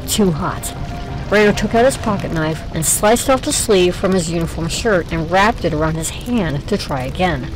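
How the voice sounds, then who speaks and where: Female storyteller: scripted drama narration, lively intonation, music bed underneath. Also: lowish voice, moderate pace, rough recording.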